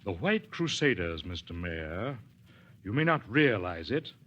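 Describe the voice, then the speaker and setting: An actor playing a smug, menacing retired colonel with a formal, insinuating manner, in 1940s radio drama style. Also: male voice, low voice, very animated, measured pace, a bit bright, exaggerated voice. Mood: oily, formal. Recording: dull, lo-fi 1940s radio recording.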